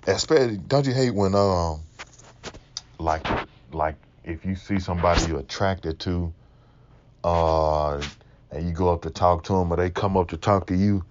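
A young man with a Mississippi accent, hosting a casual solo Q&A, talking slowly in a laid-back, mumbling way. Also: darkish tone, lo-fi sound, slight background noise. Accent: Mississippi Southern US. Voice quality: deep voice. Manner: slow, laid-back, mumbling, laughs often. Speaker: podcast host.

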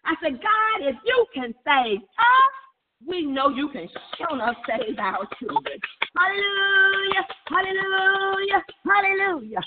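Woman prayer leader on a tinny phone line, high voice, really fired up, repeating phrases like a chant. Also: lively intonation, measured pace. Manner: impassioned, repetitive, chant-like exhortation. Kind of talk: fervent charismatic intercessory prayer. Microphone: telephone.

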